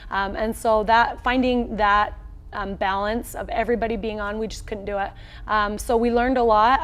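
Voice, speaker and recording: An American woman in her thirties talking as a coach in a sports interview, upbeat and plain-spoken in a conversational way. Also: mid-range voice. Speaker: volleyball head coach, interview guest. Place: small indoor locker-room set.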